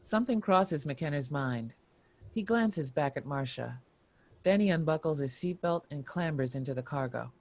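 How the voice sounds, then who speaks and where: A narrator reading screenplay action and scene headings in a deliberate, expressive storytelling manner. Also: mid-range voice, no room sound. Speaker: narrator reading stage directions.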